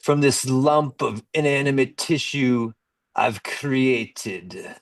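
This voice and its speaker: A grandiose mad scientist played by a man declaims his plans for world domination in an amateur radio comedy. He speaks very slowly and deliberately, at an average pitch. The sound is roomy and a little far from the mic. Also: vocal fry. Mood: grandiose, scheming. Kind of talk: amateur radio comedy sketch read-through. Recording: roomy echo, distant microphone.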